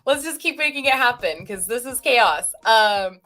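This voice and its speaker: A woman's voice: fairly high pitch, animated, normal speed, bright, forceful tone. Woman streamer, chatty and self-deprecating, loose stream banter into a computer mic. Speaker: stream co-host. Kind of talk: casual livestream chat.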